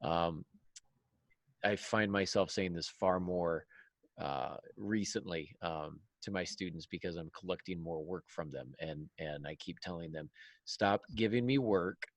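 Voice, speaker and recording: A man hosting a casual panel discussion in a halting, hesitant way, like a teacher thinking aloud. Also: low voice, dry recording. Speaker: podcast host, writing teacher.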